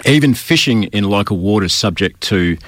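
Man, interview host, lowish pitch, wordy, considerate, questioning, dry recording. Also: animated, moderate pace, no echo.

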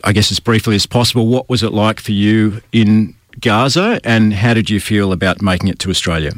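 A man's voice: on the low side, a little fast, dry recording, clean studio sound. A man hosting an interview, putting long, considerate questions to his guests. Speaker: interviewer, host.

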